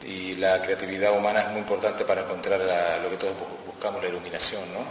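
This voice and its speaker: A man, a martial arts instructor, answering interview questions in measured, reflective sentences for an interpreter. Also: sits low, flat delivery, far from the mic. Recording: echoey hall, off-mic, faint noise.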